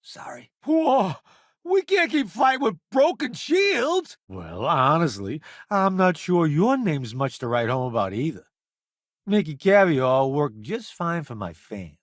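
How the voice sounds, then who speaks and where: Cocky space game sidekick, highish voice, swings all over, boastful foodie who'd rather not get eaten. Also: male voice, huge pitch swings, unhurried, no echo, studio quality. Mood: wry, laid-back, then urgent, alarmed. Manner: theatrical, breezy, boastful, wisecracking. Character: cocky, wisecracking male food-columnist sidekick, vain yet cowardly. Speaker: companion character in a space game. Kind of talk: animated video game voice acting.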